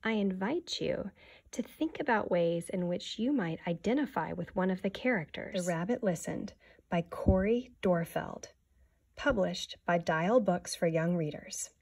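A woman in her thirties, a teacher giving an expressive, deliberate read-aloud of a children's picture book. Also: on the low side, gravelly, breathy edge.

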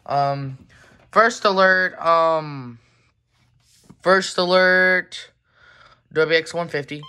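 A person talking informally and haltingly in a casual show-and-tell vlog, filmed on a handheld phone indoors at home. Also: mid-range voice, pushed and bright, smooth. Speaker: collector presenting weather radios. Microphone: handheld phone camera. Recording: noisy handheld recording with clicks.

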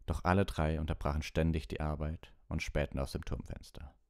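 A man with a gravelly, very deep voice reading a story as an audiobook narrator. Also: flat delivery, brisk, darkish tone, no room sound, clean studio sound.

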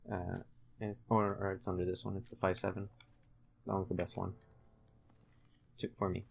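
Low, creaky, flat-voiced young man gaming. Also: soft background music. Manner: halting, low-key, distracted while concentrating. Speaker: gamer playing and commentating. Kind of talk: casual let's-play commentary.

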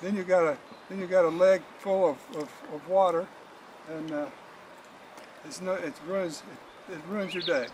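An older man giving a casual how-to demonstration as a fishing guide, folksy and halting, often repeating words, recorded outdoors from a few metres away. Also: high-pitched, fairly even intonation, darkish tone, poor audio. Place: outdoors at a roadside in the country, by a car. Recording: clear outdoor background noise.

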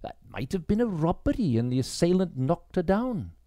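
A male reader puts on a young country policewoman in a mystery audiobook. The voice is lifted high, earnest and inquiring, recorded dry. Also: big pitch swings, moderate pace, dark tone, no echo. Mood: questioning.

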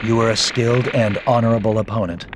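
A man's voice: fairly low pitch, steady tone, background noise, lightly acted. Man playing a stern, solemn ninja avenger. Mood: calm, formal. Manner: formal, solemn, measured. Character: stern, honorable male ninja avenger, calm and grim. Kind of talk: video game cutscene acting.

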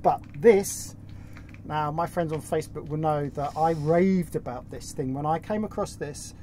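A man's voice: a little high, unhurried, dark tone, slight background noise. Chatty man showing off boat gear. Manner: enthusiastic, chatty. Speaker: sailing vlog co-host. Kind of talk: casual chat presenting gear. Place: cockpit of a sailboat at anchor, outdoors.

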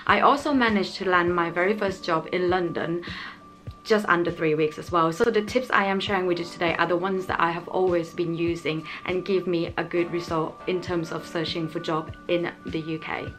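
A woman's voice: lowish voice, steady tone, normal speed, fairly bright tone, faint music behind. A young woman from Thailand, hosting an advice vlog in an earnest, explanatory way, speaking to camera at home with small grammar slips. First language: Thai. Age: young adult. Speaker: channel host. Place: indoor home room, small. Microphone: camera framing, head and shoulders.

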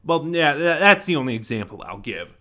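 A man's voice: a little high, sing-song, a little fast, bright, forceful tone. A man in his twenties giving an unscripted review to camera, thinking aloud and correcting himself as he goes. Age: 20s. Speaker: audio drama reviewer. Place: small plain room at home, indoors. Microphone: desk microphone close in front.

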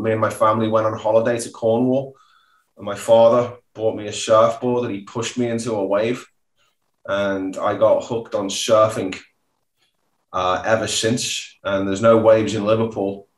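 A man, telling his story as a podcast guest. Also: lowish voice. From Merseyside, northwest England. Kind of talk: relaxed personal storytelling. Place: indoor living room, seated back from the screen. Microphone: video call. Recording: roomy echoing video call.

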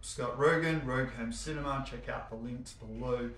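A man's voice: mid-range voice, normal speed, a bit bright, slight background noise. A man giving a casual, enthusiastic and explanatory product commentary in an echoey indoor space. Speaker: home cinema showroom presenter. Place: showroom warehouse, indoors. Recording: echoey, reverberant indoor room.

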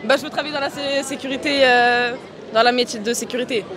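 A woman's voice: sits high, steady tone, fast, noisy background. A woman answering a street interview outdoors, blunt and giggly. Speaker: passerby interviewee. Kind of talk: casual street chat. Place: outdoor city square. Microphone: host's handheld mic.

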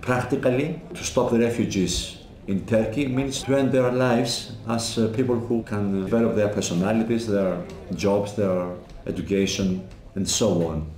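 A man's voice: fairly low pitch, fairly even intonation, moderate pace, few pauses, a little mellow. A man interviewed indoors, speaking earnestly about a crisis with slightly non-native phrasing. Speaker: activist interviewee. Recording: roomy echo, faint hiss.

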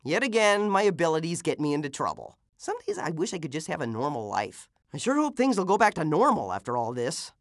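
A man's voice: fairly high pitch, big pitch swings, fairly quick, few pauses, no room sound, clean studio sound. A man voicing a plucky, wisecracking teenage half-ghost boy superhero with a youthful voice for a video game. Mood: wry, chatty. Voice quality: youthful, boyish. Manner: exclamatory, wisecracking. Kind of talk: video game character voice clips.

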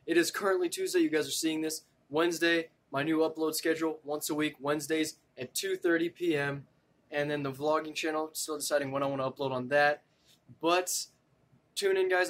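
Young male vlogger, slightly high voice, chatty and upbeat, phone at arm's length indoors. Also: steady tone, brisk, a bit bright. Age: young adult. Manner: upbeat, chatty, addressing viewers directly. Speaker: spray paint artist and channel host. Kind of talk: casual vlog intro to camera. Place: indoor room. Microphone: phone camera at arm's length.

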